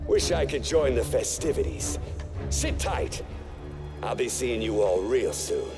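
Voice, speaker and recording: A menacing game crime boss, showy and grandiose. His gravelly voice swings widely in pitch as he draws out each taunt. Music plays behind him. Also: big pitch swings, a little slow. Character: theatrical mob boss villain, grandiose and menacing. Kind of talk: video game villain acting.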